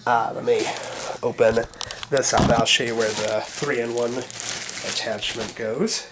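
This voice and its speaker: A man with a stuffy head cold speaks slowly and haltingly, thinking aloud as he unpacks something. His voice sits at a middling pitch. The home camera recording is noisy, with clicks, and he often drifts away from the mic. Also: fairly even intonation. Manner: halting, thinking aloud, many pauses and fillers. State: congested with a cold. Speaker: vacuum hobbyist doing an unboxing. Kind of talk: casual unscripted unboxing.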